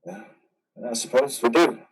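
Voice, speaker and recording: A man playing a brooding, guarded, grieving veteran in an amateur stage play reading, with a dramatic, halting delivery. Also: mid-range voice, far from the mic, lightly acted. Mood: guarded, grateful. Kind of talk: amateur reader's theater over video.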